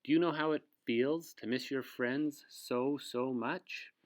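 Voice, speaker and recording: A man's voice: medium pitch, slow pace. A man who works as a teacher reads a picture book aloud to young children, with asides to his listeners.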